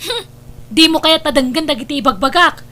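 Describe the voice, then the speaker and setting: A woman acts out a defiant, nagging Ilocano wife in a domestic radio drama. Her voice is very high, and she is insistent and full of reproach. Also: lively intonation, brisk. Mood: reproachful. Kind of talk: Ilocano radio-style family drama acting.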